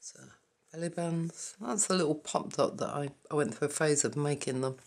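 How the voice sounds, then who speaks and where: A hoarse woman hosting a papercraft channel, quietly naming each item in a casual journal flip-through with a croaky voice. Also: sits low. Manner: quiet, halting, naming items one by one.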